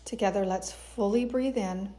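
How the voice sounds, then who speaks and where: A woman guiding a yoga meditation in a soothing, gentle, unhurried way, as a teacher giving calm instructions. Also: mid-range voice. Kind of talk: guided meditation narration.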